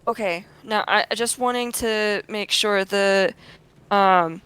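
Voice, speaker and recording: A woman's voice: mid-range voice, slow, a bit bright, smooth, no echo. A woman chatting casually as a player in a tabletop roleplaying game.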